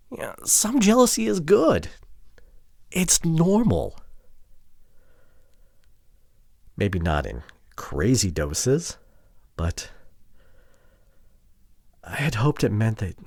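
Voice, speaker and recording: Man acting a husky, regretful, wounded boyfriend. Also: lowish voice, dry recording, clean studio sound, exaggerated voice. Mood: hurt, pleading. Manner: halting, emotional, often dropping to hushed near-whispers. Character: regretful, wounded boyfriend pleading with his partner. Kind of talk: ASMR roleplay audio drama.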